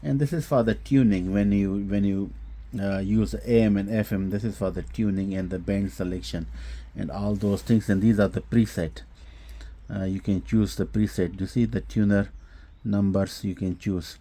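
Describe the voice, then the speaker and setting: A man giving an unscripted technical product demonstration, explaining haltingly with frequent hesitations and repeats. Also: lowish voice, fairly even intonation, warm tone. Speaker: host demonstrating audio equipment.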